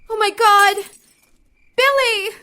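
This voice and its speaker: A woman's voice: very high voice, slow pace, bright, forceful tone, exaggerated voice. A woman playing a bossy, protective teenage big sister in an audio drama, snappy and intense. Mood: panicked.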